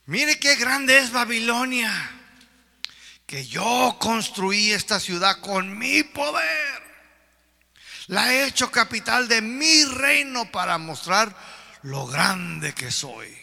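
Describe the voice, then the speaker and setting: Preacher as a loud, high, boastful ancient king. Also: very animated, slow, vocal fry, exaggerated voice. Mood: grandiose. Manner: grandiose, loud proclaiming. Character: proud, boastful ancient king glorying in his power. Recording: reverberant hall.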